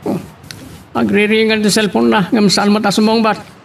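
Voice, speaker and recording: A man's voice: sits high, flat delivery, normal speed, creaky, a little hiss or hum. An actor playing a tipsy, wealthy older father and boss in a radio drama. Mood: gruff, puzzled. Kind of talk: Ilocano radio drama acting.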